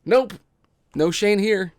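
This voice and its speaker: A man's voice: high-pitched, slow, no room sound, studio quality, pitched above the performer's own voice. A man voicing a glib, evasive young brother in a table read, casual and hesitant. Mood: breezy, evasive. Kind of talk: table read of a musical script.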